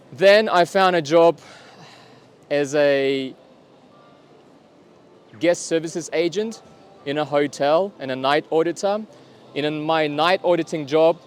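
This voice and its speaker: A man speaks to camera on a city street, his voice sitting a little high for a man. He talks in a deliberate way, pausing rather than filling gaps. Faint traffic hums behind him. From Kazakhstan. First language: Russian. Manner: deliberate, pausing instead of fillers. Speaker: vlogger. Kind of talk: casual talk to camera.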